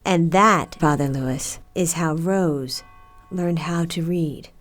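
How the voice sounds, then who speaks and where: A woman playing a mother and nun in an audio drama, with a deliberate, dramatic delivery. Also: low-pitched, soft background music. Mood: reflective, wistful. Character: stern, busy mother, later a reflective nun.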